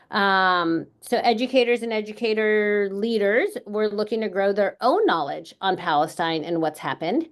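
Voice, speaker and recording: Woman, middle-aged, educator, from California, earnest, measured, lots of um, mid pitch. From California, United States. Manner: earnest, measured, frequent "um" fillers. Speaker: educator, panel speaker. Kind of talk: panel talk at a book launch.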